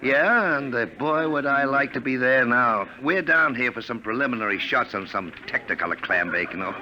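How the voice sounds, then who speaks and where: Brash, animated Hollywood wisecracker. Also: male voice, mid-range voice, normal speed, a little hiss or hum, rough recording. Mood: chummy. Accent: American. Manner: slangy, boisterous, chummy. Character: brash, jovial, wisecracking male Hollywood film director. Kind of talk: 1950s radio drama acting. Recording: old radio recording with crowd chatter.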